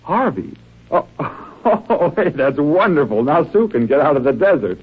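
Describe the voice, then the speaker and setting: A man's voice: a little high, lively intonation, a little slow, husky. An actor playing a flippant, brash, wisecracking young man in a 1940s radio drama. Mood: surprised, sardonic. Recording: muffled, narrow-band vintage radio recording.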